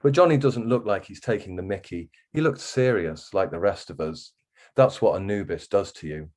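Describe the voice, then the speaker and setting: Male author, lower-pitched voice, expressive reader of first-person fiction. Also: moderate pace. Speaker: guest author reading from a novel.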